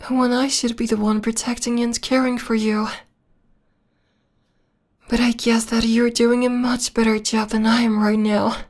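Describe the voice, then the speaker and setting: Woman acting slow, whispery possessive vampire girlfriend. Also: a little high, level tone. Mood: tender. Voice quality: soft, breathy, often whispering. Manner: slow, intimate, sweetly controlling. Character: possessive, obsessed young female vampire lover. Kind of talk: ASMR romantic roleplay.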